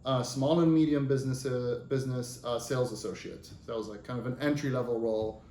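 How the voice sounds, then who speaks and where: A man's voice: medium pitch, steady tone, fast talker, dark tone. A man in his thirties, from Lebanon with Arabic as his first language, telling his career story as an interview guest in a loose, conversational way with many fillers and rephrasings. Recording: roomy echo.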